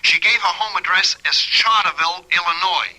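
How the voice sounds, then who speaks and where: An actor playing a chatty, colloquial police lieutenant through a telephone filter in an old-time radio drama. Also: male voice, high voice, steady tone, moderate pace, bright, forceful tone, low-quality recording. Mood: breezy, baffled. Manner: breezy, colloquial. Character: brisk, chatty police lieutenant reporting on a case.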